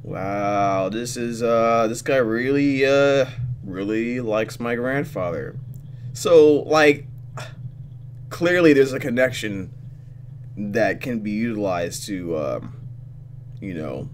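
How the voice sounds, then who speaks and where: A young man hosting a let's play, reading story text aloud and commenting casually in an animated, conversational way. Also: mid-range voice, takes it slowly, slight background noise.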